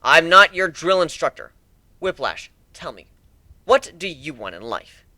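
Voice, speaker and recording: A man's voice: fairly high pitch, big pitch swings, a little slow, bright, forceful tone, no room sound, voice pushed up, heavily acted. A man voicing a cocky, jaded male griffin pirate captain with a lazy, sardonic air of command, in a fantasy story reading. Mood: stern, jaded.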